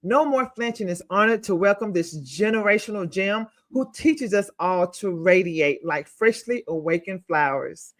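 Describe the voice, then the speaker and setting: Woman, talk show host, emphatic, dramatic pauses. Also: mid-range voice, lively intonation. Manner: emphatic, pausing between words. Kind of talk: scripted guest introductions.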